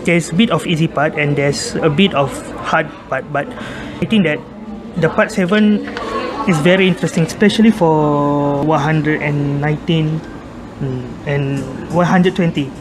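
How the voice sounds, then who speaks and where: A young man from Malaysia, a university student whose first language is Malay, answering interview questions in English with a Malaysian accent, halting and repeating words. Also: on the high side, background noise. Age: young adult.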